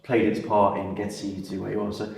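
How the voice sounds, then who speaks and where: Low-voiced host in an echoey room. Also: male voice, completely flat delivery, fast, vocal fry, darkish tone. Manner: meandering, many fillers. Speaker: podcast host. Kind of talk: conversational interview. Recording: echoey room with faint music.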